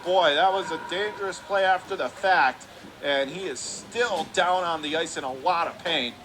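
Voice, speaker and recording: A man calling live hockey play-by-play on radio, his calls climbing into shouts when the play heats up. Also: on the high side. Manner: rapid calls rising to shouts on big plays. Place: hockey arena broadcast booth. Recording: noisy arena background.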